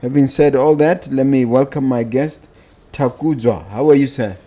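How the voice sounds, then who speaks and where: A man with a Botswana accent hosting a casual podcast, speaking haltingly with pauses between phrases. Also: mid-range voice, dark tone. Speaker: podcast host.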